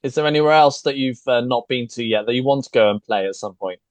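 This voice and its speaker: A man's voice: mid-range voice, fast talker, dry recording. Male interviewer, dry close sound, short affirmations. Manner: short affirmations then steady questions. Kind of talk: interview questions.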